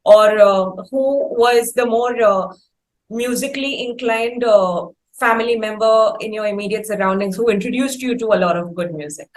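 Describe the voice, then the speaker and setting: Higher-voiced woman interviewer, echoey room. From India. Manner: measured, wordy, halting phrasing. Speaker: interview host. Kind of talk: conversational interviewing. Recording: roomy, echoing sound.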